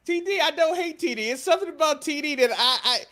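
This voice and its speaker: A man's voice: very high-pitched, lively intonation, fast talker, sharp tone. An American man hosting a wrestling podcast, chatting casually and bluntly, swearing and putting questions to others. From United States. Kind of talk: casual opinionated sports chat.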